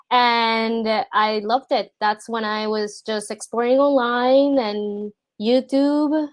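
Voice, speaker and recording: Friendly Puerto Rican woman, high voice. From Puerto Rico. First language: Spanish. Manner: friendly, laughs often, unhurried. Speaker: portfolio reviewer, product designer. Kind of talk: casual video call review. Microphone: video call.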